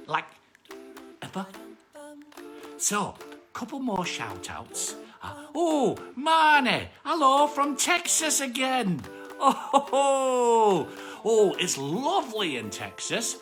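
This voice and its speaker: A woman voicing a cheerful, excitable male armadillo who hosts children's bedtime stories, effusive and playful, with a British accent. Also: fairly low pitch, full character voice. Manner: effusive, repeats words, playful. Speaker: bedtime story host. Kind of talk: live children's storytime chat.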